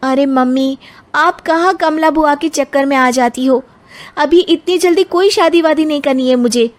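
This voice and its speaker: A woman voicing an outspoken young bride-to-be in an animated moral story, speaking firmly. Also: high voice, moderate pace, close to an everyday voice. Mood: indignant. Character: educated, outspoken young bride-to-be, indignant daughter.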